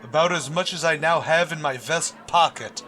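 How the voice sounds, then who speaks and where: A man acts out a pompous middle-aged principal from a radio sitcom. He speaks in florid, deliberate sentences loaded with sarcasm. The voice is bright and forceful. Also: fairly high pitch. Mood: dry, restrained. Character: pompous, long-suffering middle-aged male high school principal. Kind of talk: old-time radio sitcom acting.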